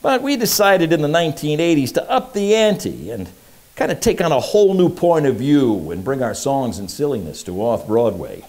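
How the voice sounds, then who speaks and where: An actor playing a slick, wisecracking 1940s radio private eye, pitching in brash, slangy tough-guy patter. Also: very animated, moderate pace, darkish tone. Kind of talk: hard-boiled promo narration in old-time radio style. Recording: music under the voice. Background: music.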